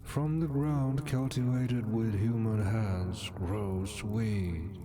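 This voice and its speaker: Male actor as solemn sun deity for game narration, very slow, grand storytelling, music underneath. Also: on the low side, steady tone.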